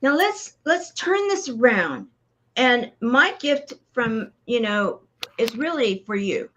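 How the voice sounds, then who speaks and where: A woman's voice: a little high, big pitch swings, slow. A woman hosting a live show, effusively thanking her audience for birthday wishes. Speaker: host.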